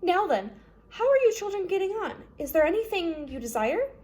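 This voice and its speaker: A woman voicing a kindly, bookish female judge in a storybook, with a cheerful, polite and expressive manner. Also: extremely high pitch, normal speed, pitched above the performer's own voice. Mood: bright, welcoming. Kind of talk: storybook character voice.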